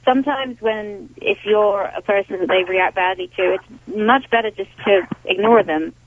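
A woman's voice: mid-range voice, moderate pace. Woman dog trainer over a tinny phone line. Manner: explains with frequent fillers and restarts. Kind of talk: expert advice on a call-in radio show.

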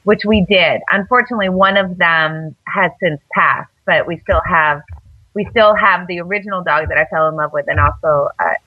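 A woman's voice: on the low side, normal speed, no echo, dull audio. A woman guest on a radio interview show, telling stories casually, rambling with lots of fillers. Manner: rambling, many fillers and restarts. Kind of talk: casual storytelling.

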